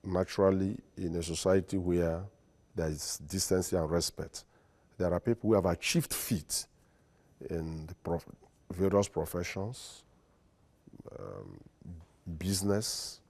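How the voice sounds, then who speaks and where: A man with a Nigerian accent, a lawyer and guest on a studio interview, speaking in a formal, deliberate and emphatic way. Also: low voice, animated, vocal fry, darkish tone. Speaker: guest, lawyer and secretary general.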